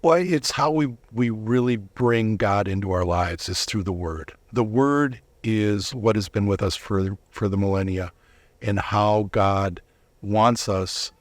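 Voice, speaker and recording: A man's voice: lowish voice, measured pace, dry recording. A man giving earnest, explanatory answers as a guest in a conversational interview. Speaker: interview guest, volunteer Bible reader.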